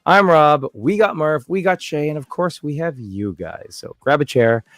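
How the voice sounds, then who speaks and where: A man's voice: on the high side, expressive, normal speed, a bit bright, no echo, clean studio sound. A man hosting a casual podcast chat, upbeat and joking with his co-hosts. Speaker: podcast host.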